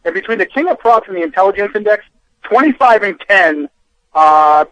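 Boston-accented male betting analyst, high pitch, loose and rambling. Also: lively intonation, brisk, fairly bright tone, dry recording, dull audio. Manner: rambling, full of fillers. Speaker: sports betting analyst guest. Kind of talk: casual radio interview.

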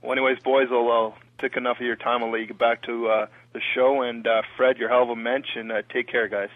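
A man with a Canadian accent calls in to a sports radio show, pausing often with "uh" and "um". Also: medium pitch, level tone, no echo. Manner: hesitant, fills pauses with "uh" and "um". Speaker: radio caller. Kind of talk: phone-in call to a sports talk show. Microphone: telephone. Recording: tinny phone line.

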